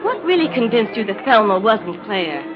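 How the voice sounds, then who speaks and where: Actress as lively, lilting radio sidekick. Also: female voice, medium pitch, normal speed, natural voice. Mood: playful, curious. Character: detective's lively female companion, playful and curious. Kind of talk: old-time radio drama acting. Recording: muffled vintage radio recording, music behind.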